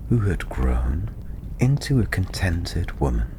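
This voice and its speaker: Deep, slow, whispery male ASMR storyteller. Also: very animated, noisy background. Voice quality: soft, breathy, often near a whisper. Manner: gentle, soothing, unhurried with long pauses. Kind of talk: soft-spoken ASMR fantasy storytelling.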